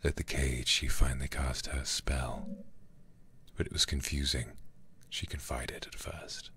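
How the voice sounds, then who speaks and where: Very deep whispering man, gravelly ASMR. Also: fairly even intonation, slight background noise. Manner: hushed, breathy whispering, slow deliberate reading. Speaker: streamer. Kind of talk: ASMR whispered game playthrough, reading text aloud. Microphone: close-up ear-to-ear ASMR microphone.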